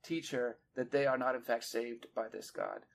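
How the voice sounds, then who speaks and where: A man co-hosting a poetry discussion, explaining his points in a measured, analytical way, one step at a time. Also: mid-range voice, steady tone, creaky. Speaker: podcast co-host, literary scholar.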